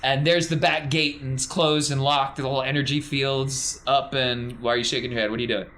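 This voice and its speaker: A man running a tabletop roleplaying game as game master, narrating off the cuff in a conversational, excitable way. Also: medium pitch, brisk. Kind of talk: improvised game narration, casual banter.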